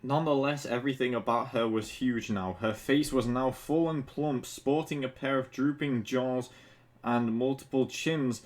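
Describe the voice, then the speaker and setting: A man's voice: mid-range voice, steady tone, moderate pace. A man who sounds tipsy, reading fan fiction aloud casually and comedically, stumbling over words and breaking off to mock the text with sweary asides. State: drunk. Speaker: fan fiction reader and commentator.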